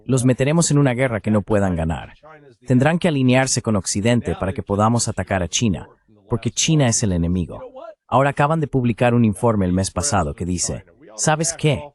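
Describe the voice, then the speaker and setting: A man's voice: mid-range voice, lively intonation, normal speed, a little mellow. A man reading a translated voice-over of a political commentator in an interview.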